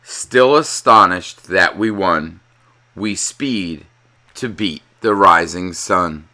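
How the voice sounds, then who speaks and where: A man giving an expressive, dramatic read-aloud in the manner of a children's storyteller. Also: deep voice, slow pace. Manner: animated, dramatic delivery.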